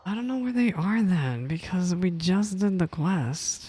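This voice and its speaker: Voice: mid-range voice, lively intonation, a little slow, dark tone. A gaming streamer giving casual, informal reaction commentary, often exclaiming and repeating words. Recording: voice over game music. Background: video game music.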